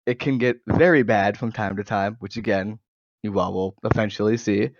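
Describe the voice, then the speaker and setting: A young American man with a fairly low voice chats casually as a podcast co-host. The recording is dry and somewhat dull, from a cheap gaming mic. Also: fairly even intonation, moderate pace, no echo. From United States. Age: 20s. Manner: occasional stutter. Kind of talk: casual chat. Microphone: low-quality gaming microphone.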